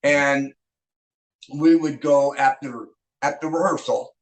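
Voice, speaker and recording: An older man recalls old times in an easygoing, rambling way, stopping and restarting often. His voice sits at a middle pitch. The sound has a noticeable room echo around it. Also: takes it slowly. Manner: rambling, many fillers and restarts. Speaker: rock band singer and guitarist. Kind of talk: casual interview storytelling. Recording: echoey room.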